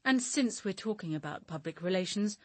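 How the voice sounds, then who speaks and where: A woman's voice: mid-range voice, animated, fairly quick, dry recording, close to an everyday voice. A bitter, demanding British professional woman, played by a radio actress, close and dry in sound. She is pointed and insistent, with a sardonic edge to her phrasing. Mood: insistent, combative. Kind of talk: radio drama acting.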